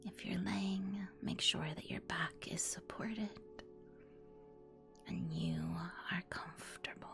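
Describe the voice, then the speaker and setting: A woman whispering a guided meditation in ASMR style, soothing and breathy, giving hushed instructions with long pauses. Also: medium pitch, steady tone, music in the background.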